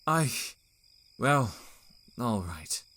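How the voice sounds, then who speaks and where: An actor playing a polite, proper young Victorian gentleman who speaks in a formal, courteous way. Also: mid-range voice, sing-song, drawn-out words, slight background noise, full character voice. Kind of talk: audio drama acting and journal narration.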